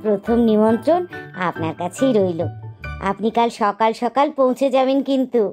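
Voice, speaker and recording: A gentle, courteous cartoon mother duck, voiced by a woman, speaking in a deferential, polite way. Clear music plays behind her. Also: on the high side, moderate pace, close to an everyday voice. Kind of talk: cartoon voice acting. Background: background music.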